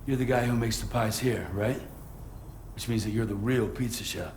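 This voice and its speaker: An actor playing a plain-spoken, inquisitive rescuer in a video game cutscene, with terse, casual questions. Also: male voice, lowish voice, normal speed, darkish tone, slight background noise, lightly acted. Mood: curious.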